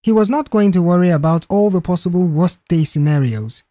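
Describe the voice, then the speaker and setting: A storyteller narrating a scripted audio mystery story, expressively, with a husky and mellow voice. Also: medium pitch, lively intonation, fairly quick, no echo, studio quality.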